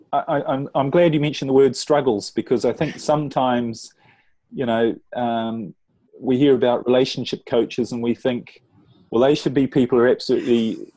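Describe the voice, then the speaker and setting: A middle-aged New Zealand man speaks in a warm, mellow tone, earnest and measured as he pauses and restarts his sentences. The sound is dry and close, with no room around it. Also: medium pitch, no room sound. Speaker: relationship coach. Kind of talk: interview guest telling his story.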